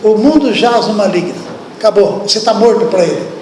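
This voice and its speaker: A man preaching a sermon with a Brazilian accent, lively and talking straight to the congregation, asking them questions. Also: sits high, fairly quick, crowd noise. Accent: Brazilian Portuguese. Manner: animated, acts out biblical speech, asks the congregation questions. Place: church hall. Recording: echoey hall, distant room.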